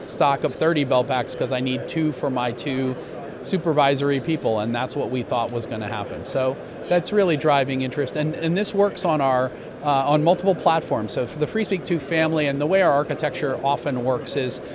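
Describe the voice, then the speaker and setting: A man speaks at a middling pitch over clear background noise. He sounds like an executive in an interview, laying out product details in a measured, explanatory way and pausing mid-phrase. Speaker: company president being interviewed.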